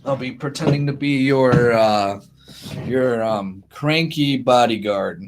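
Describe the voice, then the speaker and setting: A man voicing a cranky male bodyguard in a tabletop game, speaking deadpan and haltingly. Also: medium pitch, slight background noise, natural voice. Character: gruff male bodyguard, dry and protective. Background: faint music.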